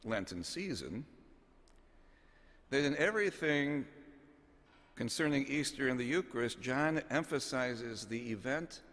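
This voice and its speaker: A man in his sixties, a bishop delivering a homily from notes in a measured, solemn, deliberate way with pauses, speaking into a pulpit microphone in a large cathedral. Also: medium pitch, expressive, fairly bright tone. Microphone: gooseneck pulpit microphone. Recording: long cathedral reverb.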